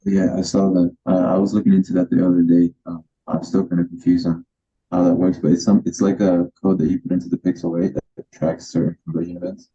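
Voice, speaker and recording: A man's voice: low-pitched, flat delivery, fairly quick, mellow, slightly rough, dull audio. A man answering questions over a video call, hesitant and full of ums. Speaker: agency owner being coached.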